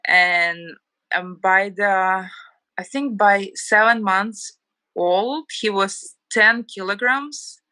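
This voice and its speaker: Bright-voiced Ukrainian woman guest. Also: mid-range voice, takes it slowly, smooth, dry recording. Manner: chatty, many fillers of like. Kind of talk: casual interview chat.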